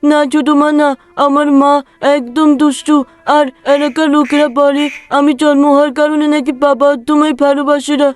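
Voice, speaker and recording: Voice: high voice, little pitch movement, normal speed, faint music behind, natural voice. An actor voicing a sad, self-blaming little village boy in a cartoon story, plaintive and sulky. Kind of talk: melodramatic cartoon story acting.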